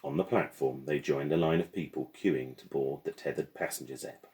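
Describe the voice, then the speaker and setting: A man's voice: rumbling low, steady tone, normal speed, darkish tone. A man reading a children's novel aloud as the storyteller.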